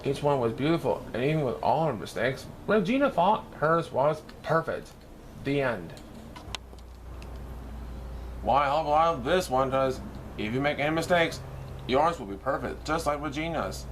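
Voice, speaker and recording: A man's voice: fairly high pitch, expressive, normal speed, a little hiss or hum. A man narrating a children's picture book as a read-aloud storyteller.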